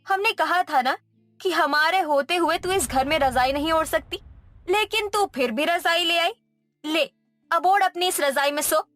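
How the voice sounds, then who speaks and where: A woman's voice: extremely high pitch, a little slow, fairly bright tone, no echo, soft background music. A woman voicing a cruel, spiteful in-law in a Hindi cartoon story, scolding and menacing. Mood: taunting.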